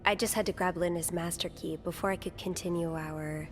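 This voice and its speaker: An actress playing a young hotel maid turned amateur sleuth, speaking gently and hesitantly, stammering now and then. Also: female voice, on the low side, steady tone, a little fast, a little hiss or hum, close to an everyday voice.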